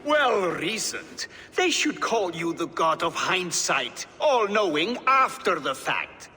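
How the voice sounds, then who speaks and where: A bitter giant builder with magic, a game villain full of contempt. The voice is high, forceful and theatrical, each word drawn out slowly. It echoes in a big hall. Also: male voice, very animated, exaggerated voice. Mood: mocking. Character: bitter, mocking giant builder and captive sorcerer. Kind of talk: video game cutscene acting. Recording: reverberant hall, faint background ambience.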